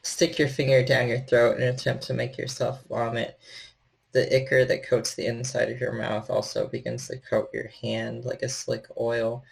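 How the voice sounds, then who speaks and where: A man with a gravelly, husky voice, narrating a horror tabletop game as storyteller in measured, literary phrasing. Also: medium pitch, rough recording.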